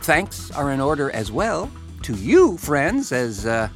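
American guy hosting a podcast, wry n wordy, pitch all over the place, little bit of music under him. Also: wildly swooping pitch, takes it slowly. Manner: wry, wordy, mock-grandiose phrasing. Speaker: podcast host. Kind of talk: casual comedic podcast talk.

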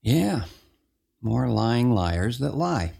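A man's voice: mid-range voice, very animated, drawn-out words, gravelly, warm tone, slightly rough, no echo, clean studio sound. A man hosting a podcast in casual talk, with an exclamatory, wry, theatrical manner. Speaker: podcast host. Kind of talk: casual chat and show introduction.

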